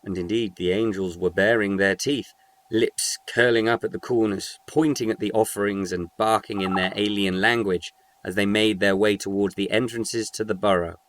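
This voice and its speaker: A man's voice: on the low side, fairly even intonation, moderate pace. A man reading scripted narration for a short audio story.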